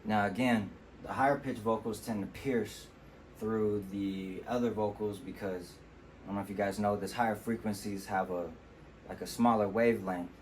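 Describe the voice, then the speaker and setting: Deep-voiced male producer, relaxed tutorial delivery, low pitch, faint background noise. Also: normal speed. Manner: relaxed, explanatory, with fillers like "you know". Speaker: music producer demonstrating vocal mixing. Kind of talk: casual tutorial walkthrough. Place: temporary home studio.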